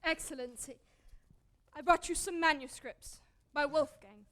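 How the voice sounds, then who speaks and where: An actress in a stage play voicing a spirited, desperate young wife who is direct and emotional. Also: female voice, high voice, a little slow, a bit bright. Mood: earnest, wary. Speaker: stage actor in a school one-act play. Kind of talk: theatrical stage period drama acting.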